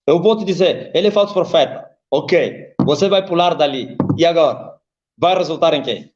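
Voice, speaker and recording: A man's voice: moderate pace, a little mellow, highs cut off. A man, preaching emphatically on a live video call and quoting scripture. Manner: emphatic, swings high and low, quotes scripture. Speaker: apostle, preacher.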